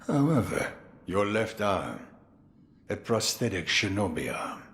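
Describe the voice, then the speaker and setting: Slow, wildly swooping old swordsman. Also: fairly low pitch, big pitch swings, fairly bright tone, slight background noise, heavily acted. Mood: menacing, amused. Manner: theatrical, slow, chuckling and commanding. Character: boisterous old swordsman in a tengu guise, menacing and amused. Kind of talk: video game cutscene acting.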